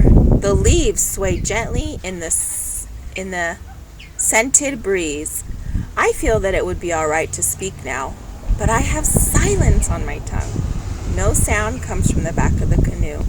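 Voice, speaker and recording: A woman reads a children's story aloud at a slow, deliberate pace. Her mid-pitched voice swings expressively and leaves room for dramatic pauses. It is a home recording with loud noise behind her. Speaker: storybook reader. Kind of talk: children's picture book read-aloud. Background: construction noise, animal sounds.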